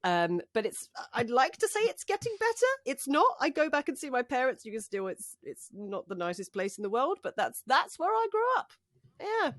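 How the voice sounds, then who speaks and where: A woman's voice: sits high, huge pitch swings, normal speed, a bit bright, dry recording. Chatty woman, dry booth sound. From North London, England. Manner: chatty, self-deprecating, laughs often. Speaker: podcast guest, broadcaster. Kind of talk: casual podcast chat. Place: home wardrobe voice booth, door open. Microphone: studio condenser mic.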